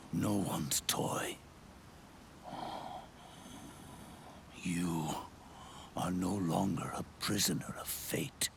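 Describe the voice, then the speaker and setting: Dying, gravelly old soldier. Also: male voice, low-pitched, noisy background, exaggerated voice. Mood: tender, dying farewell. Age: older. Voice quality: gravelly, breathy rasp. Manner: slow, halting, solemn, with long pauses. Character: dying old male soldier, weary, solemn, at peace. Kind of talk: dramatic video game cutscene monologue. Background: orchestral music.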